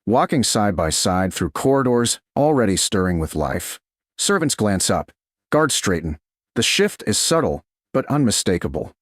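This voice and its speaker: Male audiobook narrator for romance: low register, lively intonation, measured dramatic phrasing. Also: dry recording, clean studio sound. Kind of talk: scripted romance story narration.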